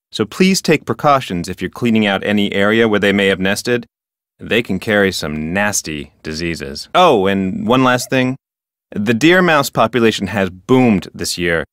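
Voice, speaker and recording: An officious park ranger for an adventure game. His voice sits fairly low and is recorded dry and close. He talks in formal, wordy sentences, like a stickler for the rules who relishes local history. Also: fairly bright tone, clean tone, no echo. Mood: helpful, precise. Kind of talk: scripted adventure game dialogue.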